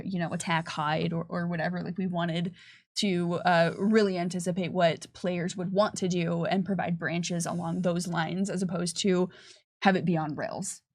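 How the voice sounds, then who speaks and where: A woman's voice: on the low side, steady tone, moderate pace. A woman answering questions conversationally on a livestream, explaining at length with frequent hesitations and restarts. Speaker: game developer presenting on a livestream. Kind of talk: unscripted livestream Q&A.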